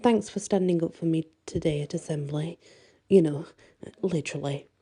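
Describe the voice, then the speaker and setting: A woman's voice: low voice, normal speed, dark tone, slightly rough. A woman voicing a wry, candid teenage girl heroine in a bedtime story, chatty and slightly sheepish.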